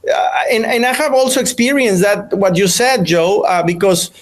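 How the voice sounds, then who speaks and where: Mexican-accented male podcast host, fairly high voice, pauses to find words in English. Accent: Mexican Spanish. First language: Spanish. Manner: hesitant, searching for words in English. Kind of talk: conversational interview.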